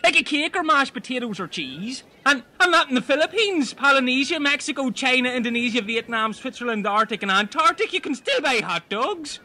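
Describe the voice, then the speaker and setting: An Irishman in excitable comic banter, swearing freely. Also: very high-pitched, brisk, hardly any pauses. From Ireland. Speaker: comedy podcast cast member. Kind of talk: comic banter and script reading.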